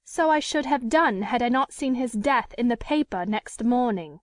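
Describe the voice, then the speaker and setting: A woman voicing a proud, anguished gentlewoman in an audiobook, speaking in an earnest, formal and emotive way. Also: on the high side, lively intonation, moderate pace, dry recording, studio quality, close to an everyday voice. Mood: defensive. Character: anguished, proud, unhappily married gentlewoman pleading her case.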